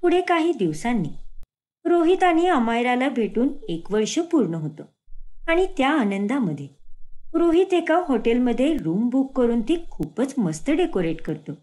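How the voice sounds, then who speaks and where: Lively female cartoon narrator. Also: sits high, normal speed. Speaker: storyteller.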